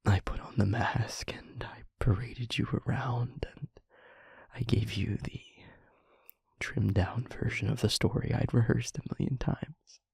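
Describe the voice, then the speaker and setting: Man acting a breathy, whispering, tormented demon lover. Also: medium pitch, steady tone, a little mellow, no echo, exaggerated voice. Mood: pained, regretful. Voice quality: breathy, husky, often whispered. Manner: slow, halting, emotionally pleading. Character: tormented, remorseful male demon confessing love. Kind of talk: intimate scripted audio roleplay monologue.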